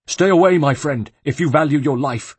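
A man voicing a terrified young monk who pleads and sobs in a high, strained voice. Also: moderate pace, breathy edge, no echo. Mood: frightened. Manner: high, wailing and begging.

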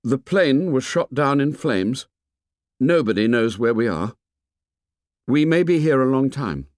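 A man's voice: mid-range voice, animated, measured pace, darkish tone, dry recording, clean studio sound, voice pushed up, close to an everyday voice. A man voicing an earnest, commanding schoolboy leader in an audiobook, speaking in short emphatic phrases. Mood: grave. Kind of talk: audiobook character voice.

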